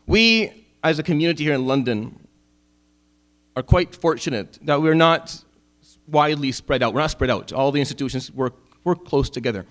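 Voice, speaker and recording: A middle-aged man from Syria, giving a Friday sermon as an imam, impassioned at times and dropping low and wry at others. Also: lively intonation, moderate pace, a bit bright. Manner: impassioned, rising to emphatic peaks then dropping low and wry.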